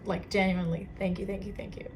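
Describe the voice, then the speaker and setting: A woman's voice: medium pitch, flat delivery, quick pace, a little hiss or hum. A young woman with a Portuguese accent hosting a casual solo podcast, talking earnestly with many fillers and restarts. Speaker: podcast host.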